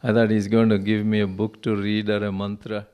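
A man whose first language is Telugu, telling a personal story in English with many pauses and fillers. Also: fairly low pitch, monotone, smooth, dull audio. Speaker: interviewee.

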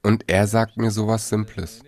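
A man reading a voice-over translation of an interview in a steady, even manner. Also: lowish voice, moderate pace.

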